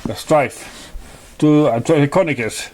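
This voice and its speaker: A man reading storybook narration aloud as narrator, somewhat halting, with small stumbles. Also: a little high, darkish tone, slight background noise. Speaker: narrator of a fan fiction story.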